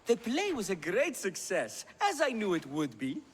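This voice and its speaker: Casting: smug playwright, high husky voice, animated, reverberant game scene. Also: male voice, moderate pace, heavily acted. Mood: pleased confidence. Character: self-assured playwright ally in ancient Greece. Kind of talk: dramatic video game dialogue.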